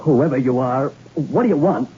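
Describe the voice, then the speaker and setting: A man's voice: mid-range voice, normal speed, mellow, close to an everyday voice. An actor playing a rattled, haunted man in an old-time radio drama, stammering and repeating himself. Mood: wary, scornful. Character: Logan, a rattled man haunted by a dead woman. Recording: muffled, noisy old radio broadcast.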